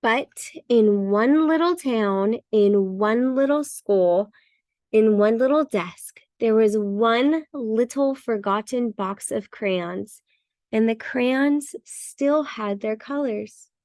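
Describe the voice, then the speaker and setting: High-voiced woman reading a children's story, unhurried. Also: dry recording. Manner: expressive, emphatic with repeated words. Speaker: storybook reader. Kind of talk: children's bedtime story narration.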